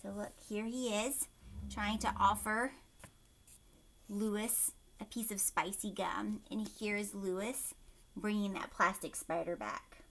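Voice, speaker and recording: A woman teacher reading a picture book aloud to children, expressively, pausing to explain and ask them questions. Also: mid-range voice, slight background noise. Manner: expressive, asks young listeners questions.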